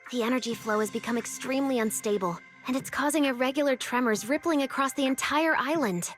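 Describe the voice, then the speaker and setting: Casting: actress as an earnest young official, high-pitched, articulate explainer in a game, music behind. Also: female voice, lightly acted. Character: earnest young female official briefing on emergencies.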